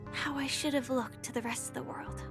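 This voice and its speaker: An actress voicing a gentle, quietly sincere young woman with a secret in a video game, with a soft, breathy, measured delivery. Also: sits high, exaggerated voice.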